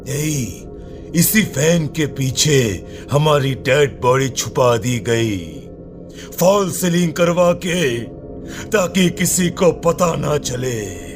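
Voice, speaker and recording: A vengeful wolfish male ghost played by a man speaks at a crawl in a medium-pitched voice. He is bitter and menacing, drawing out his cartoon horror lines over clear music. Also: heavily acted. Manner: menacing, drawn-out, deliberate. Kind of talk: animated horror story dialogue.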